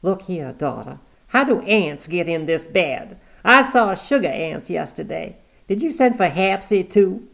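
A man reading aloud, voicing a sharp-tongued, stubborn old woman on her deathbed who scolds and commands. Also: medium pitch, animated, measured pace, gravelly, voice pushed up. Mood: scolding. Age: 80s.

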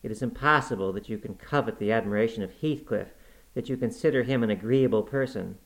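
A man reads as a haughty young married woman in a storybook. She is imperious and sharp-tongued, and scolds with emphasis. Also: on the low side, fast talker, close to an everyday voice, low-quality recording. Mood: surprised.

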